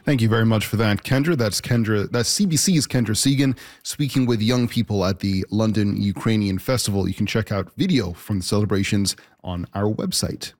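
A man's voice: fairly low pitch, fairly quick, few pauses, darkish tone. A man hosting a morning radio show, chatty and easygoing as he hands over to guests. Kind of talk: casual radio banter.

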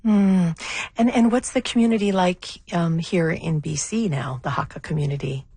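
Woman, interviewer, curious probing questions, raspy voice, dry recording. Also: lowish voice, moderate pace, warm tone, dry recording. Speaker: interviewer, host.

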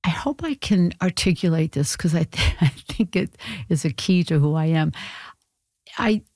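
Creaky-voiced woman, reflective and hesitant. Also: fairly low pitch, moderate pace, a little mellow, no echo, studio quality. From Portland, Maine, USA. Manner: thoughtful, hesitant, many fillers and restarts. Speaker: interview guest, stage actor. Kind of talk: reflective casual conversation.

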